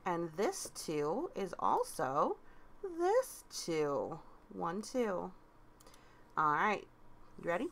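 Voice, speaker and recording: Animated woman guiding a child's reading. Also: lowish voice, drawn-out words, long pauses. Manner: encouraging, prompting with questions. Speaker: parent helping a child read aloud. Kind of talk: casual guided read-aloud with a child. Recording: clear background noise.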